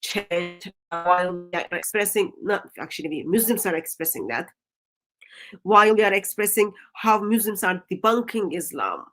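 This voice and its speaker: Halting woman with a Turkish accent. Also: lowish voice, fairly bright tone, poor audio. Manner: halting, many ums and restarts. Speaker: stream host. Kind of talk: livestream discussion.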